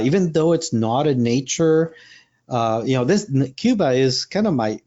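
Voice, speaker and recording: A man's voice: on the low side, dark tone, lo-fi sound. A man from Rico, a Spanish speaker, chatting casually in an interview with lots of fillers and restarts. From Puerto Rico. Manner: rambling, many fillers and restarts. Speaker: wildlife photographer and workshop leader.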